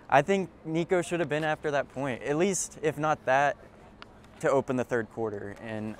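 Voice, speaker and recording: A young man in his co-host role breaks down the game in an unhurried, thinking-aloud way, restarting sentences and filling gaps with ums. His voice sits at an ordinary middle pitch. He talks outdoors in a stadium at night with faint background noise. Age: young adult. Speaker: co-host and sports editor. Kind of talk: postgame sports analysis chat. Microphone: lapel mic.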